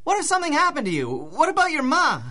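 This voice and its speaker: A desperate young male working stiff caught as a burglar. He speaks earnestly and pleadingly with lively swings of pitch, in the manner of 1930s radio adventure drama. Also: quick pace, noisy background, exaggerated voice. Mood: accusing.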